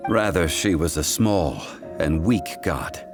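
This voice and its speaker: A man voicing a calm, dignified scholar in a video game, speaking in a measured, formal, old-fashioned way. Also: sits low. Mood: grave. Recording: game audio with music behind.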